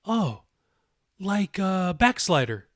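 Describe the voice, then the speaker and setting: A man's voice: high voice, sing-song, takes it slowly, dry recording, studio quality, heavily acted. A man voicing a curious, casually spoken woman in an audiobook, full of wonder. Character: curious, amazed woman, informal talker.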